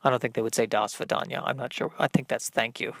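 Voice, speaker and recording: Man, comedy podcast host, rambling monologue, halting and self-deprecating, mid-pitched, dry sound. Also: fairly even intonation, a bit bright, dry recording, in a whisper. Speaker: podcast host working out stand-up comedy bits.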